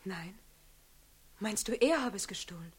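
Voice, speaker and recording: An actress playing a tender, evasive noblewoman in a German radio play, pleading anxiously in a breathy voice. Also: medium pitch, animated, measured pace.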